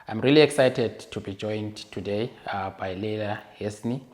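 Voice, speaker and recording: A man's voice: on the low side, normal speed, darkish tone. A man hosting a podcast, welcoming his guest and asking questions with many hesitations and restarts. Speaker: podcast host. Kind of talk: interview hosting.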